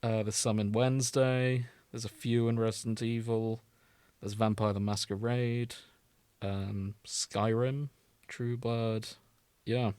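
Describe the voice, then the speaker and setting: A man's voice: medium pitch, little pitch movement, slow pace, a little mellow, clear, resonant tone, dry recording. A man with a mid-range voice talks casually as a podcast co-host. He is wry and amused, swears freely, and is recorded very dry with little room sound. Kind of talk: casual chat, reading fan fiction aloud.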